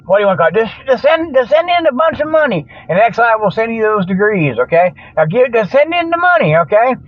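A man's voice: very high voice, very animated, fast, no echo. Folksy, rambling man on a phone-like line. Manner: folksy, colloquial, jokey babbling with frequent chuckles. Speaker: host of a comedic online radio show. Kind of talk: rambling, joking solo monologue. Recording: thin phone-like radio sound.

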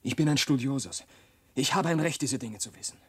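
A man's voice: mid-range voice, brisk, breathy edge, dry recording, lightly acted. A man playing a nosy, cocky young monk scholar in a German radio drama, with an insinuating, passionate manner. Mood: indignant, passionate. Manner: insinuating, then swelling into indignation.

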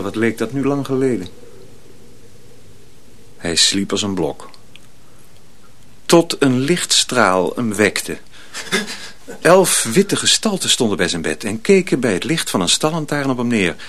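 Male story narrator: dramatic, suspenseful, medium pitch with a lively range. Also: slight background noise. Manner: deliberate, suspenseful.

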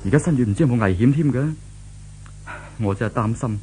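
Medium pitch, man playing a courteous worried young gentleman, Hong Kong Cantonese, vintage radio play, faint music. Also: a little fast, natural voice. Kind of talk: 1970s Cantonese radio drama acting. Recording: vintage 1970s radio drama recording.

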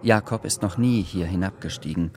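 Low-voiced male radio drama narrator. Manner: measured, literary storytelling.

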